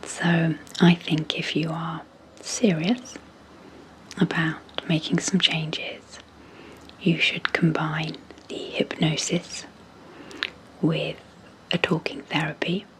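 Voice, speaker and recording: A woman speaking in a breathy half-whisper as an ASMR host, slowly and gently, with long pauses, introducing sleep hypnosis. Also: deep voice, level tone, rough recording.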